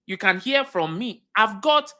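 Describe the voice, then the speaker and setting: Nigerian woman, career coach, lively swooping delivery, emphatic, repeats herself. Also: mid-range voice, measured pace. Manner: emphatic, repeats key points, asks the audience questions. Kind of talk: livestream teaching talk.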